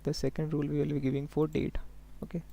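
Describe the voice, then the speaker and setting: A man working as a software trainer, giving a step-by-step live tutorial in an instructional way, often prompting listeners to follow along. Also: fairly high pitch, monotone, quick pace, vocal fry. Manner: instructional, often checking in with okay and look here.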